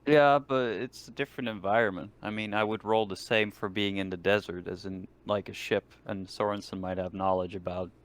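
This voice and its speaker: A man's voice: lowish voice, fairly even intonation, a little fast, few pauses. A man chatting casually as a player in a tabletop roleplaying game.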